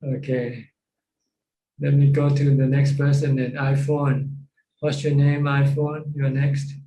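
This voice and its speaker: A man with a Thai accent giving informal Buddhist teaching on a video call, repeating phrases in halting fashion. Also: mid-range voice, monotone, mellow. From Thailand. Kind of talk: informal Dhamma teaching with questions. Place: Zoom call. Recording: roomy, echoing video call.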